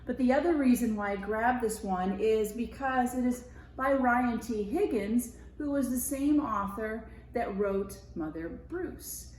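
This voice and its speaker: A woman's voice: medium pitch, a little mellow, slight background noise. An American woman reading a picture book aloud to children as a school media specialist, with conversational explanatory asides. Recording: roomy echo.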